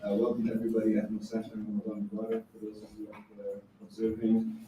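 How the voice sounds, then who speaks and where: A man's voice: lowish voice, completely flat delivery, quick pace, highs cut off. A man opening a university event, polite and matter-of-fact, reading announcements and introducing speakers. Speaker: event introducer, associate professor. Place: university lecture room. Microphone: distant room recording. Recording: echoey room from far off, faint hiss.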